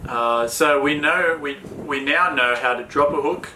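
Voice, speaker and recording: An Australian man co-hosting a sailing chat, talking in a relaxed, plain way with many fillers. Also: fairly high pitch, a little slow, echoey room, a little hiss or hum, low-quality recording. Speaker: sailing vlog co-host. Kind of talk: casual Q&A chat.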